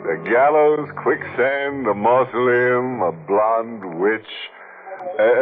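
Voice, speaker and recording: An actor playing a ghoulish horror host in 1940s radio, mocking and punning in a theatrical, tongue-in-cheek way. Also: male voice, deep voice, narrow, tinny audio. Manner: macabre, punning, theatrical, chuckling. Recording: noisy, band-limited 1940s radio recording.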